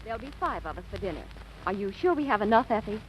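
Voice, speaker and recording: A woman's voice: medium pitch, sing-song, fast, natural voice. An actress playing a patient, slightly flustered middle-aged mother, genteel and motherly, in 1940s radio style. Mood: mildly flustered. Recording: noisy old radio broadcast recording.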